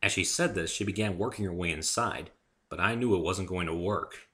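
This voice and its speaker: A man's voice: deep voice, brisk, pushed and bright. A man reading a first-person story aloud as a dramatic narrator.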